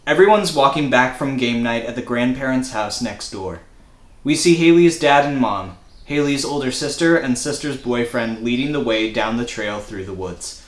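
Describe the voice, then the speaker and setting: Male script narrator, mid-pitched, reading action lines in a roomy space. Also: normal speed. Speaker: stage-direction reader. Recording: echoey room.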